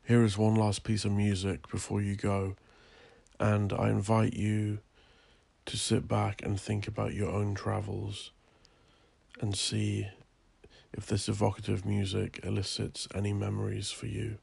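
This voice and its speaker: A man who is an author and podcast host, reading a scripted piece in a measured, solemn, dramatic manner. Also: fairly low pitch, completely flat delivery, gravelly, a little mellow, slightly rough, dry recording. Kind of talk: scripted dramatic gothic narration and podcast sign-off.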